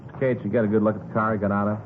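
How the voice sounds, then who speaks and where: An American actor playing a methodical, matter-of-fact police detective in a 1950s radio drama, in clipped, businesslike sentences. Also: male voice, on the low side, level tone, very fast, lightly acted. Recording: noisy, tinny 1950s radio recording.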